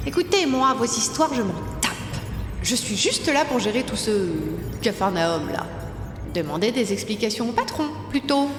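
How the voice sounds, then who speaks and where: A testy, dismissive talking machine, voiced by a woman, grumbles in a high, very animated voice. It rings through a reverberant hall with music behind, in a comic sci-fi audio play. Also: moderate pace. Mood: irritated. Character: grumpy talking computer, irritable and dismissive underling.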